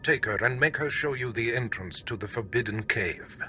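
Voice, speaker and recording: An actor playing a stern, scheming Sith lord in a video game, speaking in a measured, imperious, menacing manner. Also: male voice, mid-range voice, sharp tone, soft background music. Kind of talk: scripted video game drama.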